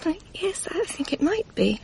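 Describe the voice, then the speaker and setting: A woman playing a gentle, hesitant middle-aged wife in a radio drama. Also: extremely high pitch, sing-song, moderate pace, gravelly, slight background noise, exaggerated voice, low-quality recording. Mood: tentative, tender. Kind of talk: 1980s British radio drama acting.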